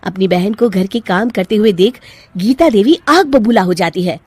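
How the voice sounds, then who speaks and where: A woman's voice: medium pitch, expressive, moderate pace, darkish tone, breathy edge, no echo. A woman reading scripted narration for a cartoon family story.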